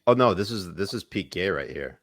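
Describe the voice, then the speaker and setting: Deep-voiced man trading crude jokes. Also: lively intonation, brisk. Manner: crude, teasing, laughing. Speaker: podcast co-host. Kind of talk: crude comedic banter.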